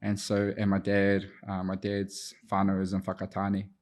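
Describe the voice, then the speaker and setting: Man, thirties, New Zealand accent, native Te Reo Māori speaker, lowish voice, flat intonation, reflective, hesitant, interview guest. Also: normal speed. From Auckland, New Zealand. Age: 30s. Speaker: podcast guest, addiction counsellor. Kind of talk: personal story in a podcast interview.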